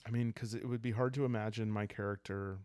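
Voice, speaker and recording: A man speaking softly and calmly, improvising a story for a solo roleplaying game and thinking aloud hesitantly. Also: on the low side, no echo. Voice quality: soft, calm. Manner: hesitant, thinking aloud with long pauses and sighs. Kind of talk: calm unscripted storytelling for sleep, journaling game playthrough.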